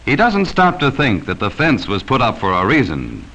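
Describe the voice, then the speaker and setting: A man's voice: medium pitch, wildly swooping pitch, normal speed, sharp tone. An American man narrating a 1940s educational safety film from a script, speaking sternly and cautioning children directly, on a vintage film soundtrack.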